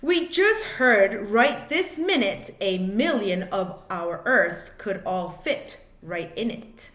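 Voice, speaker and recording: A woman reading a children's book aloud like a teacher, in a deliberate, expressive way, phrase by phrase for young listeners. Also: medium pitch, echoey room. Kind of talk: children's storybook read-aloud.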